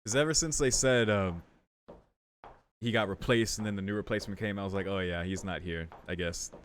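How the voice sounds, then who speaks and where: Low-voiced man doing game commentary. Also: brisk, slight background noise. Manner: informal, thinking aloud. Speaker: let's play streamer. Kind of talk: casual game commentary and reading aloud. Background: game music.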